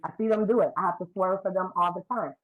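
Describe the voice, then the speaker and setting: Middle-aged New woman, deep voice, blunt and talkative, public comment on a video call. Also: quick pace, phone-quality sound. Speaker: community member asking questions. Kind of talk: public comment at a community meeting.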